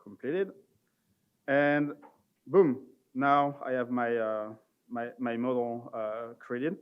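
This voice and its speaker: A man giving an informal, somewhat halting live product demo as a conference presenter. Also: medium pitch, fairly even intonation, clean tone, lo-fi sound.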